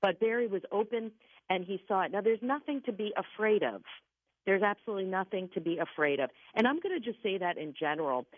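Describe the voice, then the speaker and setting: A woman's voice: medium pitch, lively intonation, fairly quick, no echo. A woman giving conversational psychic readings as a guest on a radio call-in show, speaking emphatically and reassuringly and repeating key points. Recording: narrow phone-like line.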